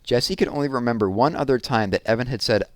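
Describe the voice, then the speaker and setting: A man narrating a children's book aloud with expressive storytelling. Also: mid-range voice, fast talker, dry recording.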